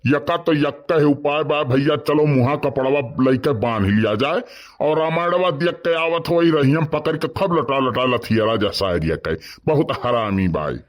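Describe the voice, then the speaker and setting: A man's voice: mid-range voice, normal speed, close to an everyday voice. A man voicing a gossipy, mischievous village man in a comedy cartoon, speaking with an Awadhi accent from eastern Uttar Pradesh. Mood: scheming, indignant.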